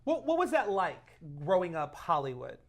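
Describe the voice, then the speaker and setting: Male host, high voice, informal and joking, quick interjections in a casual interview. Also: huge pitch swings, vocal fry.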